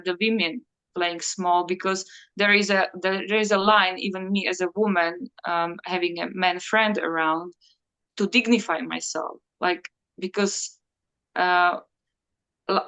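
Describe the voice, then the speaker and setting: Halting Slovak woman, low voice. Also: sharp tone, clean tone, low-quality recording. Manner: halting, searching for words, many fillers. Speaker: interview guest. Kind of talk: reflective conversational interview.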